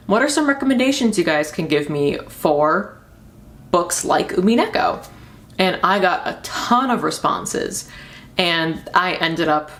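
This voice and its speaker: A woman's voice: on the low side, a bit bright. A young woman talking casually and enthusiastically, rambling through book recommendations with emphatic repeats. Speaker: book reviewer and video host.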